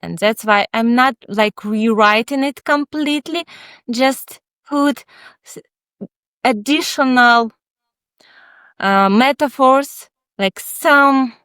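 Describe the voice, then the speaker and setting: A woman, not an English speaker, answering interview questions in a halting, candid, thoughtful way with many pauses. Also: a little high, a bit bright, smooth, no room sound. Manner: candid, reflective. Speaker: guest author, child psychotherapist.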